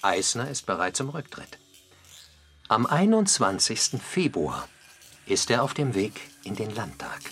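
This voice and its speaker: A man's voice: on the low side, very animated, unhurried, fairly bright tone, husky, exaggerated voice. A man delivering scripted radio documentary narration.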